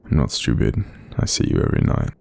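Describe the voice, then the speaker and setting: An Australian man voicing a kindly, apologetic sleep paralysis demon in an intimate audio roleplay, soft-spoken, hesitant and breathy, gently reassuring the listener. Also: fast talker, natural voice. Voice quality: very deep, breathy, creaky.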